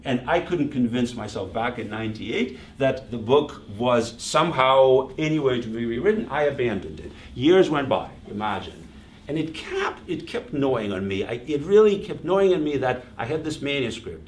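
A middle-aged man from Russia speaks as an author at a book reading, addressing a small audience informally with deliberate, halting phrasing and emphatic repetition. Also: mid-range voice. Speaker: author presenting his book. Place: small library meeting room, indoors. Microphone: distant camera microphone. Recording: roomy, distant microphone with background noise.

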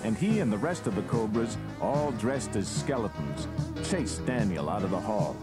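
A man's voice: on the low side, sing-song, normal speed. A man narrating a read-along storybook in a scripted style. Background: loud music.

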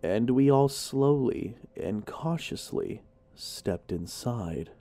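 A man narrating a horror story in the first person, in an informal, expressive, dramatic way. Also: mid-range voice, takes it slowly.

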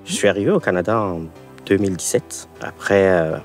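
Middle-aged Togolese-born man, very low voice, calm and measured, light music behind. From Togo. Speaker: interviewee. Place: outdoors by a wooden building.

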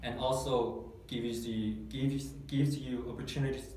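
A man's voice: mid-range voice, steady tone, a little slow, warm tone. A Korean man in his thirties, a guest in a testimonial interview, speaking English as a second language and hesitating often, with many fillers and restarts. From South Korea. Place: small dark meeting room, seated at a table. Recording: echoey room, mic far off, faint hiss.

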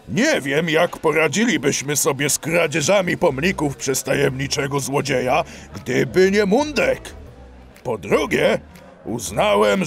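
An actor playing a bossy, sly dog police commandant in a children's audio drama, with a deliberate, hemming delivery. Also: male voice, a little high, vocal fry, a bit bright, heavily acted. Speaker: police chief character.

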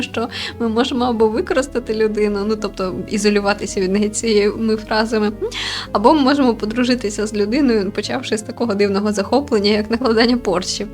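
A woman giving casual, rambling let's-play commentary as host of a gaming video. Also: fairly high pitch. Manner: chatty, rambling, explanatory. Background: background music.

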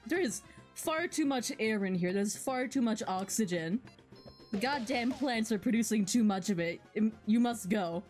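A woman doing playful, excitable live game commentary and reading dialogue aloud with mock drama. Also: on the high side, normal speed, faint music behind. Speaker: game streamer.

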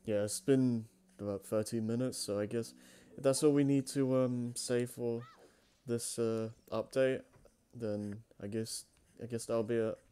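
A man's voice: mid-range voice, fairly even intonation, measured pace, warm tone, clear, resonant tone. A man in his twenties chatting casually as a co-host, easygoing and agreeable. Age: 20s. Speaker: co-host and channel owner. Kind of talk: casual chat, channel update.

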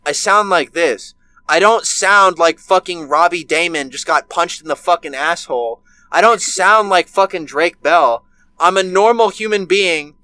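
Young man, 19, heated profane ranter, a bit high-pitched, webcam audio from a bedroom. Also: pushed and bright, clear, resonant tone, no echo, low-quality recording. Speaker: podcast co-host. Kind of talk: casual chat.

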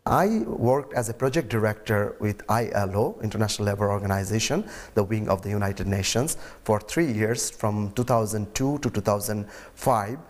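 A middle-aged man, answering interview questions in a halting way with fillers and slips. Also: lowish voice. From Bangladesh. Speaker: interviewee, mime artist. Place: indoor room.

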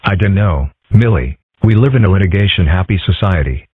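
A man voicing deadpan male animals in a comic strip dub, with a stilted reading. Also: low voice, fairly quick, husky, no echo. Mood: dry. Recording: muffled, narrow phone-like sound.

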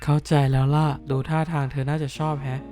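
A man voicing a bookish, easily flustered teenage schoolboy in an animated dub, grumbling to himself. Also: a little high, brisk, faint music behind, natural voice. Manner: flustered, grumbling inner monologue. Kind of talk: anime dub acting.

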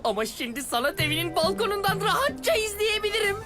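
A woman voicing an excitable, bossy young girl in a dubbed cartoon. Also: extremely high pitch, quick pace, loud music behind the voice. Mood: excited. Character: excitable young girl Zeytin, bold and eager. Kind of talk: cartoon dubbing.

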